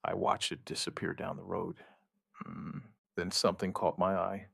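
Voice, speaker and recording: An actor playing a shaken outbreak survivor, giving his account in halting, somber, dramatic narration with short deliberate phrases. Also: sits low, monotone, vocal fry, a bit bright, slightly rough, no room sound, studio quality, exaggerated voice. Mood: uneasy, somber. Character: shaken male outbreak survivor recounting events, dryly stubborn. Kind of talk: dramatic first-person scripted narration, witness account.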